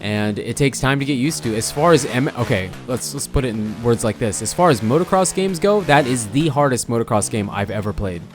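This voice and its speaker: A young man with a hoarse, fading voice, chatting casually as he comments on his own gameplay, often stopping to correct himself. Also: medium pitch, lively intonation, fairly quick, few pauses, soft background music. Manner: rambling, self-correcting, chatty. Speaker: gaming channel host.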